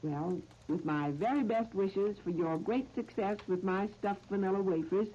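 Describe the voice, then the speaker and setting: An American woman presenting a scripted 1930s radio cooking demonstration in a measured, instructional, carefully phrased manner. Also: medium pitch, mellow. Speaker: cooking show host. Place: radio studio. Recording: dull, noisy 1930s radio disc recording.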